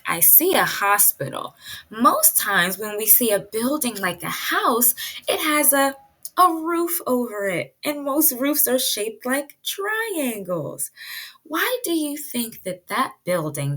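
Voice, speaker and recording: Female preschool teacher, higher-pitched voice, unhurried read-aloud delivery, asks children questions and explains simply. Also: pushed and bright. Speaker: pre-kindergarten teacher.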